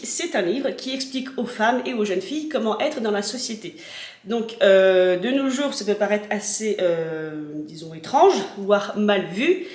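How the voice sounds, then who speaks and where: Chatty woman in her thirties, rather high voice, a bit stuffy with a cold, lots of fillers, recorded in an echoey kitchen. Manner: chatty, rambling, many fillers. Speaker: vlogger. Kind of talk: casual unboxing chat. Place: home kitchen.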